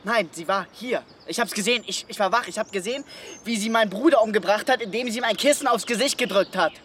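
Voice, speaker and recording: A man's voice: high-pitched, quick pace, fairly bright tone, a little hiss or hum. An actor playing a distraught, frightened boy in a radio drama, agitated and stammering. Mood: frantic accusing. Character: distraught, frightened boy grieving his brother.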